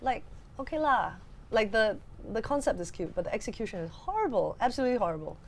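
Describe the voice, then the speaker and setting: A woman's voice: a little high, very animated, quick pace, a little hiss or hum. A woman co-hosting a podcast, chatting casually about films in an exasperated, very informal way. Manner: exasperated, informal, heavy use of "like". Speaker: podcast co-host. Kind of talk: casual film discussion.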